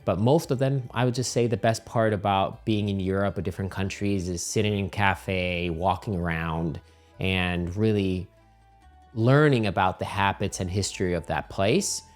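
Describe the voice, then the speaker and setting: A middle-aged man, giving casual, animated travel advice as a channel host. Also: lowish voice, moderate pace, soft background music. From Finland.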